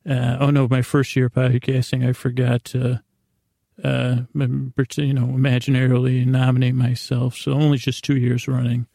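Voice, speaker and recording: A man hosting a bedtime podcast in a rambling, improvised monologue, halting and meandering with stammered restarts, self-deprecating and gently reassuring, in a gravelly voice. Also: mid-range voice, completely flat delivery, dry recording, studio quality. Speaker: podcast host.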